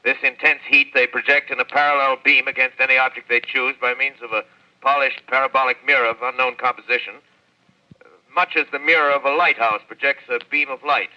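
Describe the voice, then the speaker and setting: A precise, formal professor. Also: male voice, mid-range voice, fairly even intonation, brisk, bright, forceful tone. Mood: grave. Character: learned measured male professor, scientist. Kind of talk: 1930s radio drama acting. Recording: dull lo-fi old radio recording.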